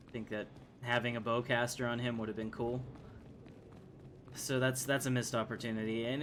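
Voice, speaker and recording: A man's voice: medium pitch, slight background noise. A man streaming a video game with casual, joking, rambling commentary.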